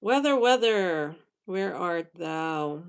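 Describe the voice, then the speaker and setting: A woman's voice: lowish voice, lively intonation, slow, smooth. A woman in her forties from the Philippines, a native Tagalog speaker, hosting a casual livestream, reading comments aloud and laughing often. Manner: chatty, laughs often, thinks aloud with fillers. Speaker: livestream host.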